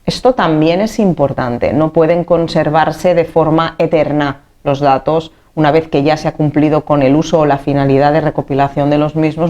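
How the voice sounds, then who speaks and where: A woman's voice: sits low, dark tone, dull audio. A woman with an accent from Spain giving an informal explanatory lecture as a teacher, stressing key points and checking that listeners follow. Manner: didactic, emphatic on key points, checks understanding with tag questions. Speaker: teacher for civil service exam candidates.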